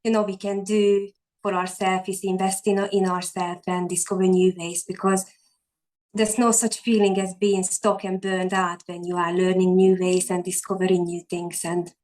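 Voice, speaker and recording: A woman's voice: lowish voice, little pitch movement, normal speed, darkish tone, poor audio. A woman in her forties from Hungary with a Hungarian accent, a guest on a video call telling her story earnestly, with many fillers and restarts. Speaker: guest, online entrepreneur. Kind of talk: casual interview chat.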